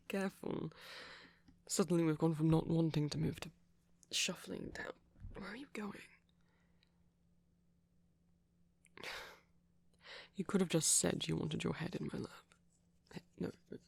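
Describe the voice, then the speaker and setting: A woman playing a gentle, doting vampire boyfriend in a soft-spoken romantic ASMR roleplay, tender and lightly teasing, often whispering close to the microphone. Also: medium pitch, fairly even intonation, normal speed, creaky, long pauses, slightly rough, no room sound. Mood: fondly teasing.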